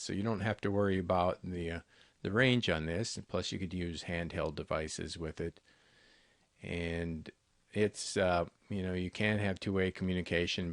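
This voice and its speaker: A man giving a conversational, somewhat halting, unscripted product walkthrough as a salesperson. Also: sits low, no echo. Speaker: intercom company salesperson presenting products. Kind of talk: unscripted product walkthrough over screen recording.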